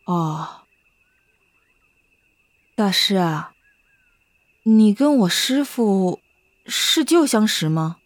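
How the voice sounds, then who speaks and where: A woman's voice: mid-range voice, expressive, extremely slow, long pauses, dry recording. Woman playing a polite, curious young disciple in disguise. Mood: respectful, curious. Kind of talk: Chinese period audio drama acting.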